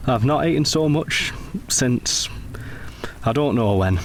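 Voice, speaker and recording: A gentlemanly Edwardian veteran in a radio play, kindly and quietly wounded. His medium-pitched voice is polite and understated, with a gently teasing edge. A light bed of ambient noise sits behind him. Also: lively intonation, slow pace. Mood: guarded, wry. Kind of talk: scripted radio drama dialogue.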